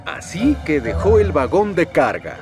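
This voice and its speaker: A man's voice: a little high, big pitch swings, unhurried, over music. A man with a Latin American accent narrating a children's train cartoon as a storyteller. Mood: storytelling.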